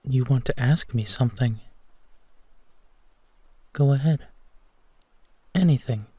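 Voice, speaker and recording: Male actor as tender protective werewolf boyfriend for comfort audio; unhurried, mellow, close and dry. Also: mid-range voice, slightly rough, no echo. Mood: reassuring. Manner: soft, soothing, hesitant pauses, often drops to whispering. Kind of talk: intimate roleplay audio, sleep-aid.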